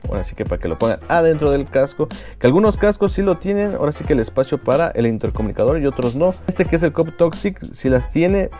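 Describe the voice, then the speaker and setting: A man's voice: a little high, very animated, fast talker, few pauses, mellow, soft background music. A man with a Mexican Spanish accent casually unboxing and explaining motorcycle gear, chatty and full of slang and filler phrases. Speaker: moto vlogger.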